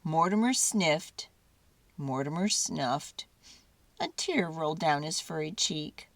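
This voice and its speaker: A woman reading a children's storybook aloud dramatically, with theatrical, deliberate phrasing and drawn-out words. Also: sits low, lively intonation, no room sound.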